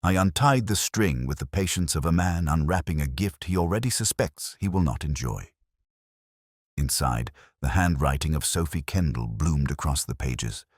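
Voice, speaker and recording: Very deep-voiced man narrating a mystery. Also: lively intonation, dry recording, studio quality. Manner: measured, formal, literary storytelling. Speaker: audio drama narrator. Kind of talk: scripted first-person literary mystery narration.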